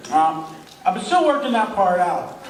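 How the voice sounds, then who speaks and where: A man acting an eager, daft food-fad reviewer in a radio comedy skit. His voice is high and swings freely, and it comes from off the mic in an echoey room. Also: very animated, a little slow. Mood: eager, earnest. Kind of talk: amateur old-time radio show skit. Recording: echoey room, distant mic, faint noise.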